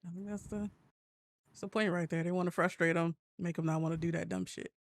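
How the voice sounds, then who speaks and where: A man's voice: a little high, steady tone, quick pace, dry recording, studio quality. A man co-hosting a casual gaming news chat, hesitant in his phrasing and freely swearing. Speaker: podcast co-host.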